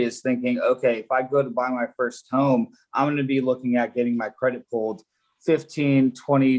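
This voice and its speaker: Polish-born man explaining mortgage steps. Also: mid-range voice. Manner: explanatory, loose, lots of fillers and restarts. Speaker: loan officer. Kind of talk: casual live-stream Q&A, explaining mortgages.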